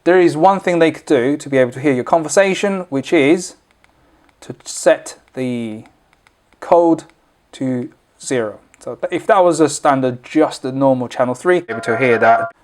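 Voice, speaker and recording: A man's voice: mid-range voice, moderate pace, darkish tone. A man presenting an informal, unscripted product tutorial, explaining things step by step as he demonstrates.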